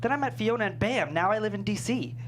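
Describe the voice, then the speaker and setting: A woman's voice: medium pitch, animated, quick pace, lightly acted. A woman playing a hapless, lovesick, reluctant male intern in a sitcom script read. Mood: exasperated. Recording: noisy room with hum.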